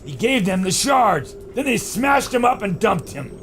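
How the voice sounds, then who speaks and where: An actor playing an excitable, blunt adventurer, exclamatory and combative, in a pulp radio adventure. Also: male voice, high-pitched, a little slow, creaky, faint music behind, heavily acted. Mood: agitated. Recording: loud storm and ambient noise.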